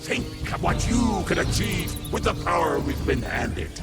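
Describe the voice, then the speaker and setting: An actor playing a stern, scheming father who hungers for vampire godhood, speaking in a commanding, menacing way over a loud score. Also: on the high side, expressive, unhurried, over loud music. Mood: persuasive. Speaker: villain. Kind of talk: video game cutscene acting. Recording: game audio with loud score.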